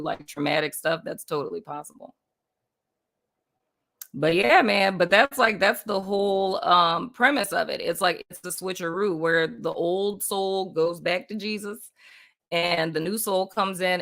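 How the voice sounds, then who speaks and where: A woman's voice: fairly low pitch, gravelly, a bit bright. A woman from Puerto Rico hosting a casual spiritual live stream, rambling loosely with lots of "like" and "you know what I mean" and frequent laughter. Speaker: livestream host.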